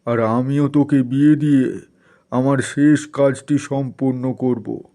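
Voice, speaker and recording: Man acting a proud middle-aged father, stern, indignant, mellow low-bright tone, slow delivery, cartoon story. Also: fairly even intonation, lightly acted. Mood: firm. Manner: indignant, commanding.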